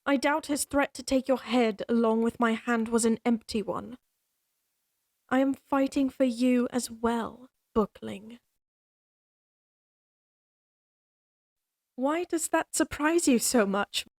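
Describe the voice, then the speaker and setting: A woman's voice: a little high, measured pace, a little mellow, no echo, studio quality. A woman playing a proud, teasing young lady knight in a fantasy audio roleplay, with formal, old-fashioned, dryly haughty phrasing. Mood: earnest, protective. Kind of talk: fantasy audio roleplay acting addressed to the listener.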